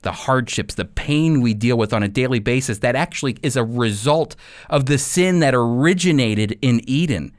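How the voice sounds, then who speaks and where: A man preaching as a Bible teacher, earnest and deliberate, stressing key phrases through repetition. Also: mid-range voice, no echo, clean studio sound. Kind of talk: sermon, expository Bible teaching.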